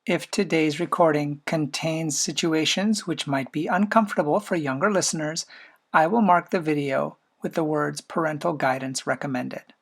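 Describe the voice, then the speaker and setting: A man voicing a recording angel, giving a measured, formal introduction. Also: on the high side, vocal fry, fairly bright tone, slight background noise. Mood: solemn. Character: solemn male recording angel. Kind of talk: scripted narration. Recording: narration over music.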